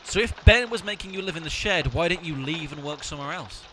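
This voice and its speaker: An actor playing a bossy, sarcastic amateur sleuth in a comic audio drama. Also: male voice, a little high, animated, fast, noisy background, close to an everyday voice. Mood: questioning, firm.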